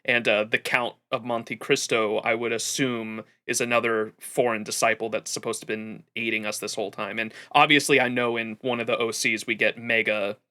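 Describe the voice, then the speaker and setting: Stream host: man, bright forceful voice at middling pitch, casual, animated, rambling and sweary commentary. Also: moderate pace, few pauses. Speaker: livestream host.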